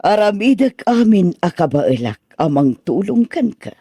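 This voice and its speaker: A man's voice: high-pitched, sing-song, a little slow, heavily acted. A man playing a doting, motherly woman in a radio drama, fussing affectionately over children. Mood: gentle, reassuring.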